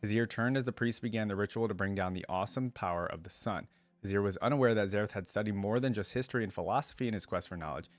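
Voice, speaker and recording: A man's voice: lowish voice, fast, no echo. A man hosting a reaction video, reading story lore aloud and breaking off for casual, slangy commentary. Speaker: YouTube reaction host.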